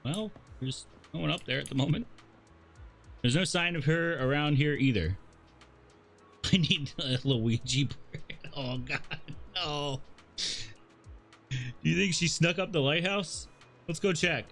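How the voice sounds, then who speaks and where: A man's voice: fairly high pitch, unhurried, vocal fry, long pauses, fairly bright tone, soft background music. A man livestreaming a story game, reading its dialogue aloud with casual asides and the odd stumble. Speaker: streamer playing a story game.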